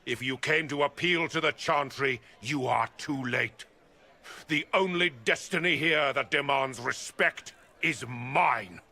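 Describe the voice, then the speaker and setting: A cold, imperious templar commander in a game cutscene. His voice is gravelly and sharply bright, and he drags out every word with deliberate contempt. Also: mid-range voice. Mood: scornful. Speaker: templar leader.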